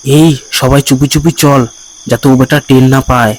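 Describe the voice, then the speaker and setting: A man's voice: mid-range voice, fairly even intonation, normal speed, close to an everyday voice, low-quality recording. A man voicing the bossy, cautious leader of a band of village thieves in a cartoon, giving urgent orders. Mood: sly, confident. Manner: urgent, commanding. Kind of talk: cartoon voice acting.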